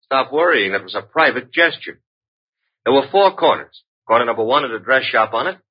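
Husky, wisecracking radio detective's sidekick. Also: male voice, medium pitch, animated, brisk. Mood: wry. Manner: breezy, wry banter. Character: wisecracking, flirtatious male private detective's assistant. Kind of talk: old-time radio detective acting. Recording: dull, muffled vintage radio recording.

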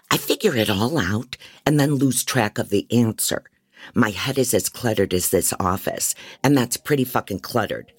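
An actress playing a scattered, jaded woman private detective who narrates bluntly in a deep, husky voice, as in a noir audio drama. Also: dry recording, studio quality, full character voice. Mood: weary, frank. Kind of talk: first-person scripted noir narration.

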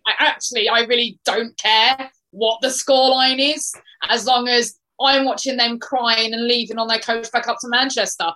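A woman's voice: fairly high pitch, little pitch movement, normal speed, few pauses, sharp tone, low-quality recording. A woman, a guest on a football podcast, talking with fervent, emphatic passion about her team. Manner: passionate, emphatic supporter talk. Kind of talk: casual podcast chat.

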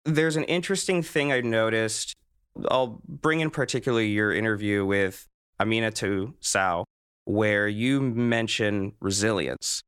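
A man's voice: lowish voice, unhurried, a bit bright, clear, resonant tone. A man hosting a casual interview, talking in a discursive way and rephrasing his questions as he goes. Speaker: podcast host, interviewer.

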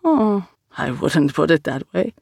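An audiobook narrator voicing a serene male acolyte who speaks haltingly and philosophically, searching for words. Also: medium pitch, sing-song, vocal fry, darkish tone, no echo, clean studio sound, full character voice. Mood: serene, earnest. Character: serene, hesitant otherworldly religious acolyte, a male. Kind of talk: audiobook character dialogue.

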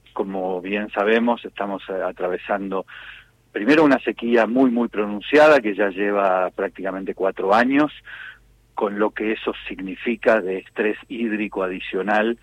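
Husky Argentine man, formal and measured. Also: on the low side, dull audio. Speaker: government official being interviewed. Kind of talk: radio interview answers.

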